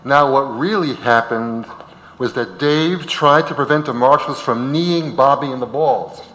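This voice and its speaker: Bright-voiced man narrating in a hall. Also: mid-range voice, a little slow. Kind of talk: scripted stage narration. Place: large auditorium. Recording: reverberant auditorium.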